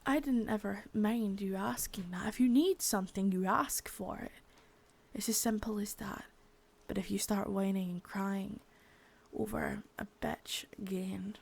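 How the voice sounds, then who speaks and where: A woman's voice: mid-range voice, a little mellow, full character voice. A young woman playing a threatening, profane second-in-command crime boss in a whispered ASMR roleplay, close to the mic. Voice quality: husky whisper.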